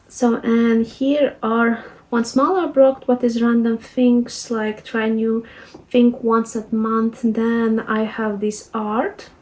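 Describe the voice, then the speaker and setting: A woman in her thirties with a Latvian accent, speaking English as a second language. She calmly walks through her planning notes, pausing often with fillers. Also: on the high side, rough recording. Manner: soft-spoken, unhurried, many fillers and halting restarts. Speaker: lifestyle vlogger. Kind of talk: reflective planning walkthrough.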